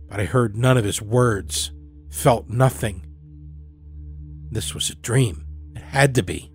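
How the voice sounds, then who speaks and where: Man narrating over music. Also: mid-range voice. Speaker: storyteller and showrunner of horror fiction podcast. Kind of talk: scripted narration. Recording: music under the voice. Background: musical score.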